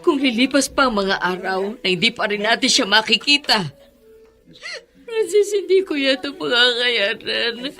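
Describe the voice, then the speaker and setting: Give this role a high, bright voice: an anguished mother in a radio melodrama. She is tearful and emphatic, fiercely protective of her child. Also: lively intonation, a little slow, exaggerated voice. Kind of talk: Filipino radio drama acting.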